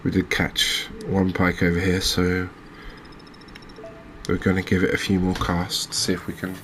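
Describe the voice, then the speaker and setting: A man giving soft-spoken, relaxed ASMR-style game commentary in a hesitant, musing, understated way, as a gaming video creator. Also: low-pitched, measured pace, slight background noise.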